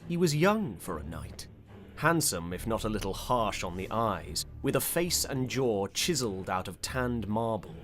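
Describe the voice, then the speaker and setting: Male narrator for dramatic fantasy audiobook: unhurried, intense, deliberate, on the deeper side. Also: sing-song, fairly bright tone, soft background music.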